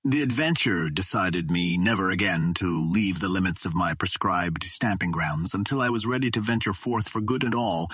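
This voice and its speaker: A man reading a literary adventure novel aloud as an audiobook narrator, in a measured, formal storytelling manner. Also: sits low, a bit bright, breathy edge, no echo. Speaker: audiobook reader.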